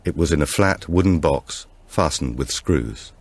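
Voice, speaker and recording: A man reading a supernatural thriller audiobook in a measured, suspenseful storytelling manner. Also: a bass voice, lively intonation, no echo. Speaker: audiobook narrator. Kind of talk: scripted narration of a supernatural thriller.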